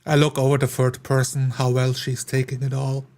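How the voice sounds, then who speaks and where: Guy playing a tabletop rpg, average pitch, casual roleplay talk. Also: completely flat delivery, normal speed, darkish tone. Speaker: player in a tabletop roleplaying game.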